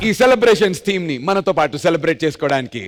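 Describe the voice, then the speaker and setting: A man hosting a stage entertainment show with animated, announcer-like delivery and comic banter. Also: a little high, very fast. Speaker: dance show host. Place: TV studio stage. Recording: loud music behind voice.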